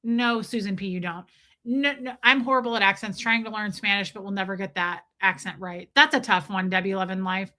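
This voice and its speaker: Woman, Southern accent, livestream host, bright tone, chatty, restarts often. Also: mid-range voice, fairly even intonation, brisk. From Southern US, near Nashville. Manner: chatty, answers viewers by name, frequent restarts. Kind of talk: casual live chat reading comments.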